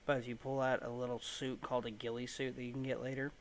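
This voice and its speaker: A man's voice: medium pitch, little pitch movement, fast, slight background noise. A man giving casual gaming commentary in an offhand, mildly grumbling way, with some swearing. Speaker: gameplay video host.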